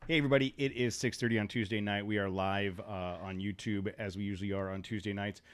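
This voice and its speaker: A man's voice: fairly low pitch, steady tone, quick pace. A man hosting a podcast, chatting casually and rambling with many restarts as he sets up and opens the show. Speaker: podcast host. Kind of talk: casual chat.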